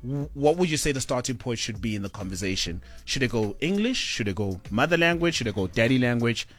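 A man's voice: mid-range voice, animated, fairly quick, faint music behind. Upbeat male morning radio host. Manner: upbeat, hosting.

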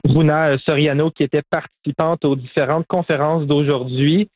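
Male field reporter, tinny phone line, slightly high voice, brisk. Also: fairly even intonation, no room sound. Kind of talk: live report.

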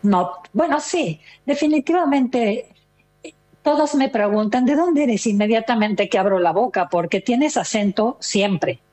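A woman's voice: fairly high pitch, animated, measured pace, a little mellow. An older woman with a Mexican accent, chatting casually and expressively as an interview guest, telling stories with quoted remarks. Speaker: interview guest, retired listener. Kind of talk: casual conversational storytelling.

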